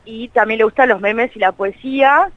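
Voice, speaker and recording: A woman's voice: a little high, normal speed, no echo. Female phone guest, Buenos Aires accent, informal and giggly, dry slightly dull line. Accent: Buenos Aires Argentine. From Buenos Aires, Argentina. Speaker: interview guest, journalist. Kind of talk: casual phone interview. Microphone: telephone.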